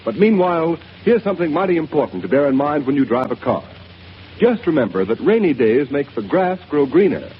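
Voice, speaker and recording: A man's voice: on the high side, very animated, brisk. A male radio announcer reading an emphatic 1930s commercial. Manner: emphatic, salesy. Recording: dull old radio broadcast with hiss.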